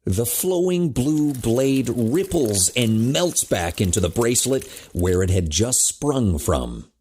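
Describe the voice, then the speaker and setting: A man narrating a scripted action drama with a husky voice. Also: medium pitch, big pitch swings, takes it slowly, warm tone.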